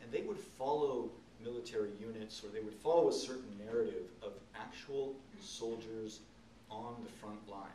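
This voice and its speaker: Middle aged guy giving a talk, kinda deep voice, earnest and halting, echoey room recorded from a ways off. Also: warm tone. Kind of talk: unscripted public talk, explaining and storytelling. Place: indoor event room, seated on stage. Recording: roomy, distant camcorder sound, faint noise.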